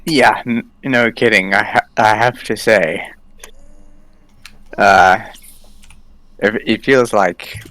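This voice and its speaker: A man's voice: fairly low pitch, slow, pauses often, a bit bright, no echo. A man giving his thoughts on a story in a casual talk, stammering and restarting as he thinks. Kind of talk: casual discussion.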